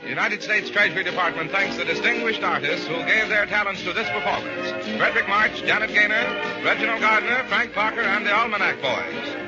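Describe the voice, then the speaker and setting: A man's voice: sits high, brisk, few pauses. A man making a formal, polished announcement as a 1940s radio announcer on an old muffled recording. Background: loud music.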